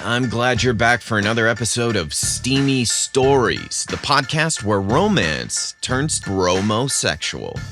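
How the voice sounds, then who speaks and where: A man who hosts a podcast, narrating scripted stories in a measured, theatrical way. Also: medium pitch, sing-song, over music.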